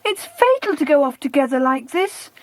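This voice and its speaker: A woman plays an earnest child adventurer in a storybook. The voice is high and swoops expressively, recorded dry and close. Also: big pitch swings, normal speed, no room sound. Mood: weary. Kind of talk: audiobook character dialogue.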